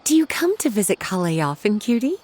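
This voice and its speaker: A woman's voice: medium pitch, sing-song, darkish tone, slight background noise, close to an everyday voice. A woman voicing a languid, teasing young sorceress in a video game, coy and playful in her delivery. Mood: fond, teasing. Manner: coy, drawn-out, playful endearments.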